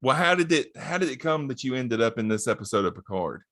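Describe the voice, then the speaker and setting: A man's voice: medium pitch, very animated, fast, fairly bright tone, no room sound, clean studio sound. A man hosting a podcast, interviewing a guest with many short acknowledgements. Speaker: podcast host.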